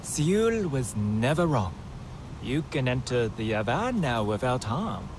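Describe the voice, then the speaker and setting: A man playing a young alien researcher in a video game, earnest and eager, talking in a halting, animated way. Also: medium pitch, background noise. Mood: eager, hopeful. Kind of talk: video game character acting.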